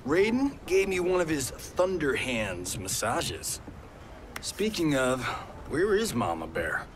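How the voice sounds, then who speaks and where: An actor playing a cocky, wisecracking middle-aged action hero in a video game, flippant and brash. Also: male voice, fairly high pitch, huge pitch swings, slow pace, noisy background, heavily acted. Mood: joking. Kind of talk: video game cutscene acting.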